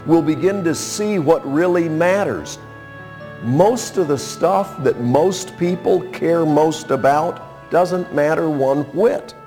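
A man in his sixties delivers a sermon at a very unhurried pace. His voice sits a little high for a man. He talks in a folksy, conversational way, leaving pauses and posing rhetorical questions. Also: dark tone, faint music behind. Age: 60s. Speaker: preacher. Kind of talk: sermon with scripture reading and personal anecdotes.

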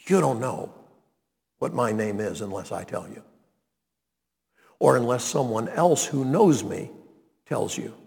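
A man, preaching a sermon and reading scripture, deliberate and emphatic. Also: mid-range voice, gravelly. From North Carolina, US. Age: 90s. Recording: long reverb of a large hall.

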